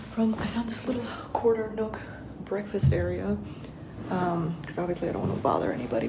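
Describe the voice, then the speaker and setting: A woman in her twenties chatting casually and upbeat to camera as a vlogger, her voice slightly hoarse. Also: mid-range voice, heavy background noise. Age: 20s. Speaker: vlogger, startup founder.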